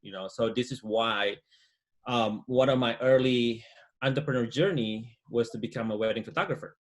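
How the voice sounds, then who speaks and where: A man, chatting as an interview guest over a video call, relaxed and full of "you know" fillers. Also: medium pitch, moderate pace. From Indonesia. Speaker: guest entrepreneur. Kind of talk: casual interview chat. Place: home room, indoors. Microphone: video call webcam.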